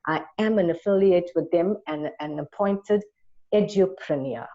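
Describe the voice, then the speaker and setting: A woman's voice: on the low side, measured pace, dark tone. A South African woman answering interview questions conversationally, with an earnest, enthusiastic manner. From Durban, South Africa. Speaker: interview guest, entrepreneur. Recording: dull, lo-fi audio.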